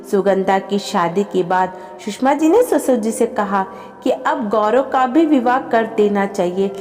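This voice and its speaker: A woman's voice: medium pitch, darkish tone, long reverb tail. A woman narrating a scripted family story as a storyteller.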